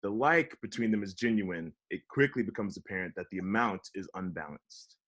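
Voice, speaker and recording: A man's voice: fairly low pitch, fairly quick, fairly bright tone. A man narrating scripted stage directions for a table read. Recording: online video call table read.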